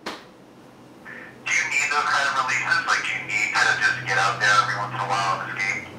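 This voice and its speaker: A man's voice: fairly low pitch, flat delivery, moderate pace, sharp tone. A man asking questions conversationally as an interviewer. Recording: echoey, distant, faintly noisy.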